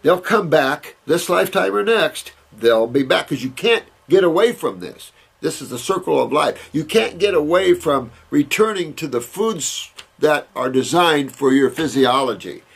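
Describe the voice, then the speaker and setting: Older male naturopath, gravelly and slightly husky, rambling and emphatic Q&A delivery. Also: a little high. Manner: rambling, emphatic, repeats words and restarts. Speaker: naturopath answering viewer questions. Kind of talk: casual Q&A talk, reading and answering letters.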